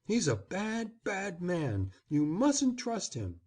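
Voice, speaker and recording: A man's voice: very animated, measured pace, dark tone, exaggerated voice. A man reading aloud, voicing a defiant, resentful young boy who speaks coldly and insistently. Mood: coaxing. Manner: cold and insistent, low intensity.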